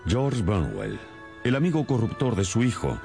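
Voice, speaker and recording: A gentleman detective in a radio drama, deep-voiced and very expressive. He is confident and methodical, putting things with polite, measured authority over a music bed. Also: gravelly, heavily acted. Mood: grave, firm.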